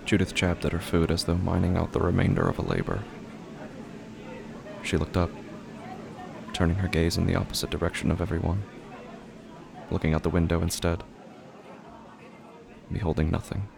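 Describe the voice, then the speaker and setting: A man narrating a literary horror drama in a hushed, deliberate way. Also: deep voice, level tone, creaky, a crowd in the background.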